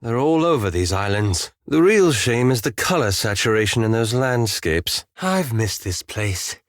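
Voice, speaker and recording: An actor playing a wry, anxious, fastidious elf wizard in a video game, with a dry, polite and hesitant manner. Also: male voice, mid-range voice, sing-song, no room sound. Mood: wry, reflective.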